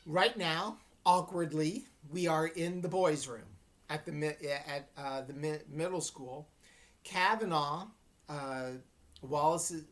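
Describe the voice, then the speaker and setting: A woman teacher giving an informal read-aloud lesson, explaining things in an unhurried way and checking that listeners follow. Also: sits low. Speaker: English teacher reading aloud to students.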